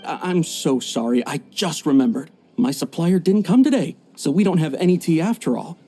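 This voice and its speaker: A man's voice: a little high, lively intonation, normal speed, warm tone, faint music behind. An actor playing a flustered, evasive male tea shopkeeper in a video game, stammering nervously. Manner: stammering, nervous filler-laden speech.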